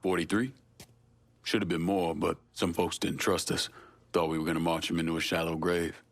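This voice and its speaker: A man's voice: deep voice, little pitch movement, normal speed. A man playing a world-weary, hardened war veteran turned criminal in a video game, with a blunt, laconic manner. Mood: frank, somber. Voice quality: gravelly, husky. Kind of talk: dramatic video game dialogue.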